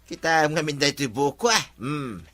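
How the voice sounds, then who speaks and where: A man's voice: on the high side, normal speed, fairly bright tone, close to an everyday voice. A man with an Ilocano accent playing a cheeky, vain village freeloader in a radio comedy drama. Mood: vain, hurried.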